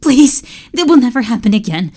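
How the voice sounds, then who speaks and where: A woman voicing an eager, fearful young male servant who cries out and begs in a high, strained voice. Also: big pitch swings, brisk, warm tone. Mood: pleading. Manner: high-pitched pleading and crying out.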